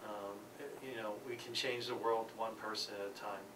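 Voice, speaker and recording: A man presenting a peace program at a conference, speaking haltingly with many fillers and restarts. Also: lowish voice, little pitch movement. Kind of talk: conference panel talk. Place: church conference hall. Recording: distant, noisy conference recording.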